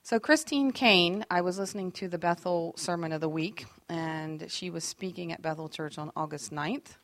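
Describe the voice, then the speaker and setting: A lively woman teaching a faith workshop, her voice sitting a little low for a woman. She talks in a chatty, self-deprecating way and acts out the lines she quotes. The sound is dry and close, with little room echo. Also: normal speed. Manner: animated and expressive, acting out quoted lines.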